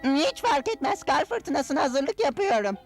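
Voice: high-pitched, sing-song, unhurried, exaggerated voice. A performer playing an insistent, worrying athletic male Smurf in a dubbed children's cartoon. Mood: urgent. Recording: loud music behind the voice.

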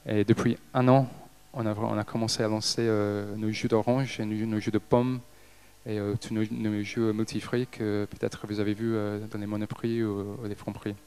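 Halting Englishman with a smooth voice. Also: on the low side, level tone, darkish tone. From Liverpool, England. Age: 30s. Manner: halting, frequent hesitations and restarts. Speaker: company director giving a talk. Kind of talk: stage talk to an audience. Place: stage in an event hall with a seated audience. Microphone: handheld microphone.

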